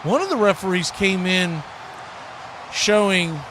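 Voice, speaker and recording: Higher-voiced American football commentator, noisy background. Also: male voice, a little slow. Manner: conversational, folksy, rises to excited shouts on big plays. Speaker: play-by-play sports commentator and stream host. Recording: clearly noisy live stream background.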